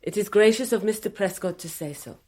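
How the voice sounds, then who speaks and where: A woman's voice: medium pitch, fairly quick, noisy background, close to an everyday voice. A woman playing a cool, formal aide to guerrillas in a radio drama, speaking. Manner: formal, composed. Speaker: actor playing a guerrilla's go-between.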